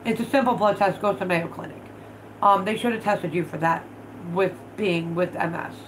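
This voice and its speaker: A woman's voice: medium pitch, moderate pace, background noise. A woman in her thirties hosting a casual livestream at home, stuffed up from allergies, chatting bluntly and swearing freely while she works with her hands. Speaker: vlog host. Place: living room at home, indoors.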